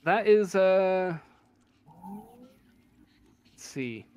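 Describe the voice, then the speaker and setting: A man with a fairly high voice for a male runs a tabletop roleplaying game. He talks slowly and haltingly, thinking aloud while he casually explains things to the players. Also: animated, darkish tone, clear, resonant tone, no room sound. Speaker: game master.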